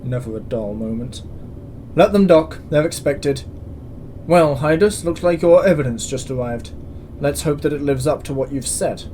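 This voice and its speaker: A man playing a stern, measured space station commandant in a science-fiction audio drama, formal and dryly authoritative. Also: a little high, lively intonation, dark tone, a little hiss or hum, lightly acted. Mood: stern, wry.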